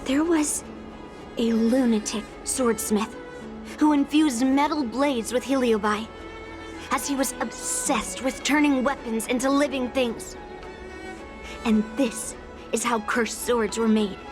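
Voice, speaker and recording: A woman's voice: fairly high pitch, takes it slowly. An actress voicing a fierce, stubborn young swordswoman in a dubbed game cutscene, speaking bluntly and with determination. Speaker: game character. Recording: game audio over loud music.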